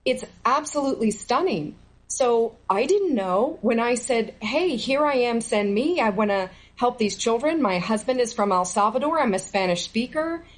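A woman's voice: on the high side, lively intonation, moderate pace, poor audio. A middle-aged woman answering interview questions as a former federal employee, speaking earnestly and stressing key words with indignation.

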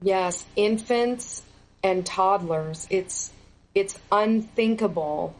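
A woman's voice: medium pitch, drawn-out words, low-quality recording. A middle-aged woman answering interview questions as a former federal employee, speaking earnestly and stressing key words with indignation.

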